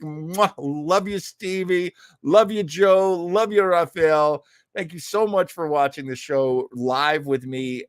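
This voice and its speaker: Lively, slow-paced host, close and dry. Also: medium pitch, no room sound. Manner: effusive, theatrical, playful. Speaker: podcast host. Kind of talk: casual livestream chat.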